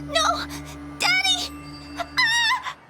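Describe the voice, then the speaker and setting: Game role: scared small girl, extremely high, very slow and faltering, loud music behind. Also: full character voice. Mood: terrified. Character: frightened, timid little girl. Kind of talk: video game voice acting.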